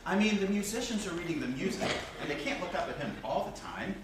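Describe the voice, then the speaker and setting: A man's voice: high-pitched, sing-song, fast. A man reading a polite, curious, agreeable character in a stage play. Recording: distant, echoey, noisy stage recording.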